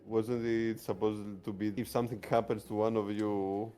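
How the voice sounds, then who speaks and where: Mellow, low-voiced male game player. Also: little pitch movement, moderate pace, smooth. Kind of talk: casual in-game roleplay chat. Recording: music behind the voice.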